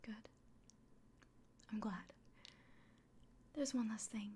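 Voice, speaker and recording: A woman playing a kindly, caring innkeeper in an ASMR fantasy roleplay, whispering gently and reassuringly. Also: fairly high pitch, fairly even intonation, extremely slow, a little hiss or hum. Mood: caring, cautionary.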